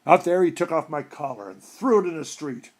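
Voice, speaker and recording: A man reading a humorous short story aloud in an expressive storytelling style. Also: on the high side, brisk. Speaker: story reader.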